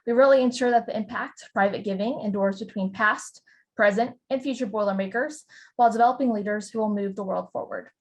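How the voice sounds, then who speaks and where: A woman's voice: medium pitch, brisk. An upbeat young college senior from Indiana introduces her student board in polished, lightly scripted phrasing. Her mid-range voice comes through a video call. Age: young adult. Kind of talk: prepared panel introduction.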